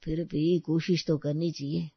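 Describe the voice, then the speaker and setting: A woman voicing a gentle, long-suffering old grandfather in a storybook tale, mild and reassuring. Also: deep voice, flat delivery, measured pace, husky, dry recording, dull audio, heavily acted. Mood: resigned, resolute. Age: older.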